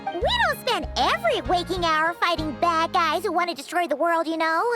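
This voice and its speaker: A tiny floating fairy girl from a video game, chirpy and nosy, who calls herself by name. Her voice sits extremely high and bounces all over the place, and loud game music plays behind her. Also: animated, normal speed. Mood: teasing. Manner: talks about herself in the third person.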